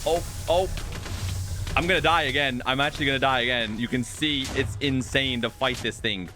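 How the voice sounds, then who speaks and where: A man giving game showcase commentary as a video host, in an expressive, emphatic presenter's delivery. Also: mid-range voice, normal speed. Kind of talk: game showcase commentary, part scripted narration, part live reaction. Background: music.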